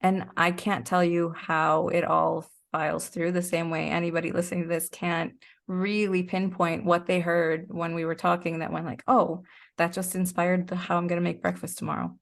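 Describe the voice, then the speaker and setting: A woman's voice: lowish voice, flat delivery, normal speed, vocal fry. A woman being interviewed as a guest, explaining things in a casual, reflective way. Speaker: interview guest, performing artist.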